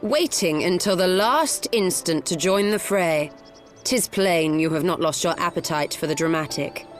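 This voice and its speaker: A woman's voice: on the low side, measured pace, soft background music, natural voice. An actress playing a composed, scholarly woman in a fantasy game, speaking in dry, formal, old-fashioned phrases. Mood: dry, reproving.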